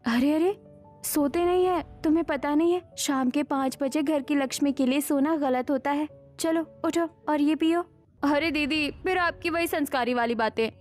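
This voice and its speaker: A woman playing a young daughter-in-law in an animated family story, with expressive domestic melodrama. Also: high-pitched, close to an everyday voice. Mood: nagging.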